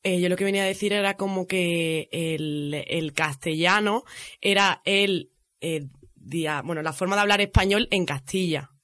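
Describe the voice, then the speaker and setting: A man's voice: high-pitched, moderate pace, fairly bright tone. A man chatting casually as a radio panelist. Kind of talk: casual chat.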